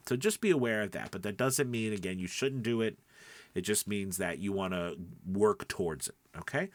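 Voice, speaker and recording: A man with a fairly low voice teaches in a casual, conversational way, like a podcast host coaching beginners. He leans on key points and often checks that the listener is following. The sound is dry, with very little room on it. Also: fairly bright tone, dry recording. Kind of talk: casual instructional talk.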